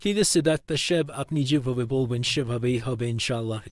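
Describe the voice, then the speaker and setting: A man's voice: mid-range voice, brisk, no echo, clean studio sound, natural voice. A man playing a devoted, respectful adult son in a cartoon, speaking earnestly. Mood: resigned, respectful. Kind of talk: cartoon dialogue.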